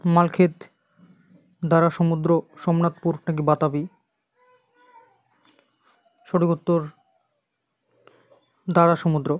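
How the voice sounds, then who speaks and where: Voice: mid-range voice, flat delivery, slow, pauses often, no echo. An exam coaching teacher with an Indian Bengali accent, reading out quiz questions and repeating the answers in an instructional way.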